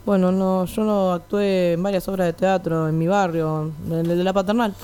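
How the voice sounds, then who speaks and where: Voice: medium pitch, little pitch movement, moderate pace, warm tone, clean tone, close to an everyday voice. A performer playing a timid, deferential man in his fifties at an audition, hesitant, with an Argentine accent, in a radio comedy. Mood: humble, eager. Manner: hesitant, eager to please. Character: timid, deferential 53-year-old male actor auditioning. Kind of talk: comic radio play acting.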